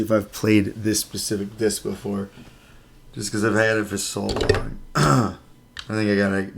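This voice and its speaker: Raspy low-voiced guy rambling on his stream, gravelly, home setup, kinda nostalgic. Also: slight background noise. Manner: rambling and nostalgic, talking while setting up his console. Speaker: streamer playing a game. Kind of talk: casual live-stream chat. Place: home living room with a couch.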